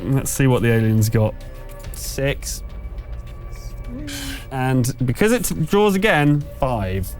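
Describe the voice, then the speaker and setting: A man acting as game master at a tabletop session, improvising as he goes. His phrasing is conversational and a little hesitant, at a middling pitch, with music faintly behind him. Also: animated, takes it slowly, long pauses, darkish tone.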